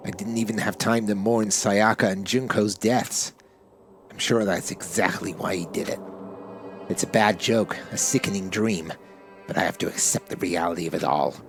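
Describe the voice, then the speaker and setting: A man's voice: low voice, normal speed, gravelly, pitched below the performer's own voice. Brooding schoolboy protagonist, read by a man, earnest visual novel narration, faint music underneath. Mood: somber brooding. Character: brooding, earnest teenage boy, game protagonist.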